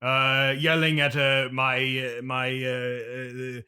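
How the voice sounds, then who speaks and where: A man's voice: mid-range voice, flat delivery, takes it slowly, pushed and bright, clean tone. A man playing a pompous, melodramatic classically trained stage actor, bitter and theatrical, as a guest in a comedy interview. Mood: sheepish. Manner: theatrical, haughty, swinging into pleading outbursts.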